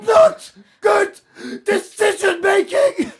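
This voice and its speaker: A woman's voice: very high-pitched, lively intonation, slow pace, heavily acted. A woman acting in an audio play as a strict, indignant middle-aged mother, scolding and sarcastic. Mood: furious. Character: strict, indignant mother of a runaway teenage daughter.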